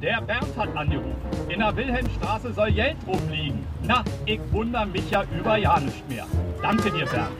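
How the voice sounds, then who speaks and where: A man's voice: sits high, lively intonation, normal speed, loud music behind the voice. An actor playing an over-cheerful, chummy male morning host on local radio, heard in a crime drama. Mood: gushing, cheerful. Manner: exaggeratedly upbeat, chummy.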